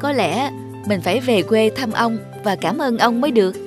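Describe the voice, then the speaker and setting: A woman's voice: fairly high pitch, expressive, normal speed, natural voice. A woman voicing an earnest, affectionate young granddaughter in a cartoon dub. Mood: grateful, earnest. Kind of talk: cartoon dubbing. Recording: music behind the voice.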